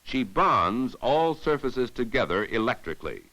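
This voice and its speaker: A man giving formal, measured, didactic narration in the scripted style of a 1950s educational safety film. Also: medium pitch, big pitch swings, sharp tone, low-quality recording.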